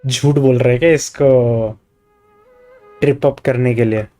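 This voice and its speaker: Fast-talking Indian man streaming over music. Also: mid-range voice, steady tone, warm tone. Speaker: game streamer. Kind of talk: casual livestream commentary mixing Hindi and English.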